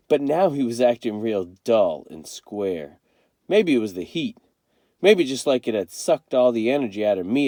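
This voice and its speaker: A man narrates a children's novel in the first person. His voice is soft-edged and mellow, moving freely in pitch as he tells the story. The sound is dry and close. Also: dry recording. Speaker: read-aloud storyteller.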